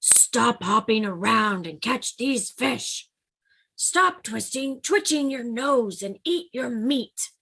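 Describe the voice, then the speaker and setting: A woman voicing a group of gruff, grumpy bears who growl out scolding orders. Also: on the high side, expressive, takes it slowly, sharp tone, pitched above the performer's own voice, full character voice, low-quality recording.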